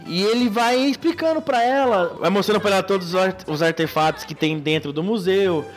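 A man's voice: sits high, a little fast, soft background music. A man hosting a podcast in casual chat, recapping loosely with many restarts. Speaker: podcast host.

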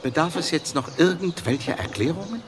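A man's voice: fairly high pitch, animated, fairly quick, noisy background, natural voice. An actor playing a haughty, irritable, scornful man in a German radio drama, indignant and contemptuous.

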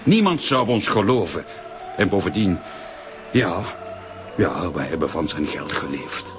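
Radio serial suspect: disdainful, emphatic, low-pitched music teacher, faint music behind. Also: male voice, lively intonation, measured pace. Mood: anxious confession. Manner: scornful, emphatic short phrases. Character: disdainful male music teacher, failed pianist, under questioning. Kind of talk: radio drama dialogue.

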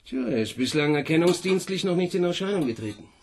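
A man's voice: high-pitched, lively intonation, fast talker, a little mellow, husky, exaggerated voice. An actor playing a junior police detective in a radio crime drama, eager and deferential, chatty with his boss.

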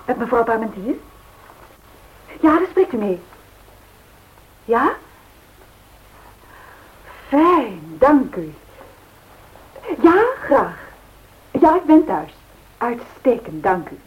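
A composed, polite wife of an aviator in a radio play, speaking very slowly with lively intonation. The old recording is muffled and faintly noisy. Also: female voice, a little high, heavily acted. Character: composed, polite pilot's wife waiting at home. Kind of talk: radio drama acting.

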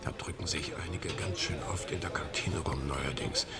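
An actor playing a cynical, gossipy film actor in a radio drama, with a wry and confiding way of talking. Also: male voice, very deep voice, normal speed. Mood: gossiping. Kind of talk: naturalistic radio drama dialogue. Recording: busy canteen chatter behind. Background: crowd murmur of a canteen.

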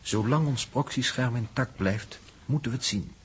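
An actor playing a grave, fatalistic spaceship crewman in a 1960s Dutch radio drama, speaking in a solemn, measured, declamatory way. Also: medium pitch, close to an everyday voice. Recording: noisy early 1960s radio drama recording.

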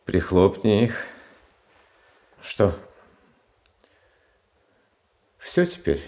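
A mild young tsar in a classic radio play. His voice sits low and moves slowly, soft and pleading, though he bristles into hurt indignation when crossed. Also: very animated, full character voice. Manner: soft pleading, childlike, flares into hurt protest. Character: gentle meek young tsar Fyodor. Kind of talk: 1970s Soviet radio drama.

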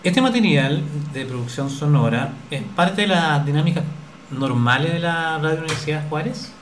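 A man's voice: fairly high pitch, animated, normal speed. A man working as an interviewer, putting questions to guests in a conversational interview. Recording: roomy echo with faint background noise.

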